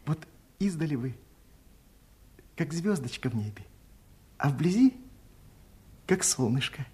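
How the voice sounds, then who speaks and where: A low voice a humble young gardener in a fairy-tale radio play. He is bashful, earnest and tender, speaking softly and mocking himself with theatrical warmth. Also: animated, measured pace.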